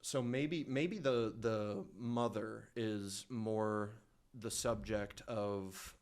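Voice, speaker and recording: A man's voice: on the low side, drawn-out words, a little mellow. A man co-hosting a casual podcast conversation, thinking aloud with frequent restarts and hedges. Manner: thoughtful, hesitant, with frequent restarts. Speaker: podcast co-host.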